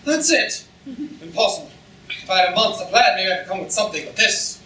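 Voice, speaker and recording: A man's voice: sits high, expressive, fairly quick, bright, forceful tone. A young man playing a limp, just-revived hero, dry and scheming, in a comic stage reading. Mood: dryly scheming. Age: young adult. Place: lecture hall stage, far camera. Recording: distant echoey hall, noisy audience recording.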